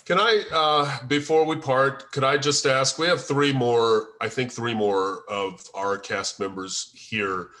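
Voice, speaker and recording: Bright, forceful man hosting. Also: mid-range voice, steady tone, slow pace, hardly any pauses. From United States. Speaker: host and co-writer of a script table read. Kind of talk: informal group discussion on a video call.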